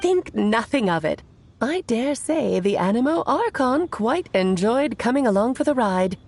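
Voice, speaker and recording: A woman's voice: fairly high pitch, very animated, a little slow, a little mellow, slightly rough, exaggerated voice. A woman voicing a playful, theatrical witch in a video game, teasing and amused.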